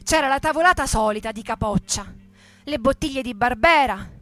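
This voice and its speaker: An actress giving an emphatic, indignant radio theatre reading as a young militant recalling street riots. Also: female voice, a little high, animated, normal speed, fairly bright tone, faint music behind. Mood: indignant, bitter. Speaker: actress and narrator.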